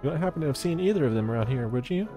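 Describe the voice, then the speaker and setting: A man plays a young female game companion, prim and earnest, pitched well up from a male register. Faint music runs behind the lines. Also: lively intonation, rapid-fire, dark tone, close to an everyday voice. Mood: indignant.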